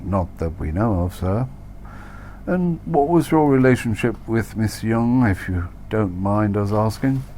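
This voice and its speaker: A man's voice: lowish voice, lively intonation, unhurried, dark tone, a little hiss or hum, pitched above the performer's own voice. A man voicing a young British police inspector in an audiobook, formal, courteous and official. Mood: formal questioning. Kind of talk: audiobook character dialogue.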